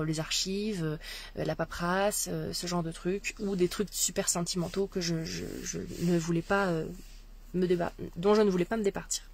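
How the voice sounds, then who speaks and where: A woman's voice: lowish voice, a little mellow. A woman from France, a spiritual coach, talking casually to her listener in a hesitant way, with many fillers and restarts. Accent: French. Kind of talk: casual spiritual monologue to camera.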